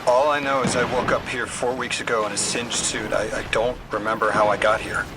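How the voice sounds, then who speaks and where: A male actor playing an earnest, bookish amnesiac translator in a video game, halting and apologetic. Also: lowish voice, level tone, very noisy, close to an everyday voice. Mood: subdued, thoughtful. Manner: halting, apologetic, repeats words when flustered. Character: earnest, flustered, bookish amnesiac man from Earth acting as translator. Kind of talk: naturalistic video game voice acting. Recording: music behind the voice.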